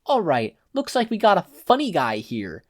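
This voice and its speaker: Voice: mid-range voice, wildly swooping pitch, moderate pace, no room sound, clean studio sound, voice pushed up. A narrator voicing a menacing, mocking male yakuza thug with a taunting, folksy tough-guy manner. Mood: mocking, frustrated.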